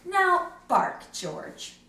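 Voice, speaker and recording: A woman's voice: very high voice, big pitch swings, extremely slow, pushed and bright, echoey room, far from the mic, pitched above the performer's own voice, heavily acted. A woman voicing a patient but insistent mother dog in a picture book, firm and sing-song as she corrects her pup. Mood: coaxing.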